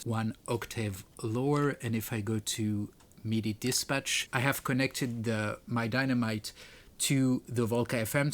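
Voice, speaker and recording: A man's voice: medium pitch, fairly bright tone. A man presenting a music gear tutorial in a casual, explanatory way. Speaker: presenter demonstrating a music pedal. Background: keyboard and synth demo notes.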